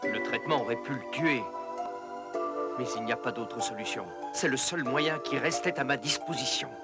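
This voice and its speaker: Male actor as modest physician, mid-range voice, kindly, measured, cartoon dub, prominent music. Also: medium pitch, close to an everyday voice. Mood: grave. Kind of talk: dubbed educational cartoon acting.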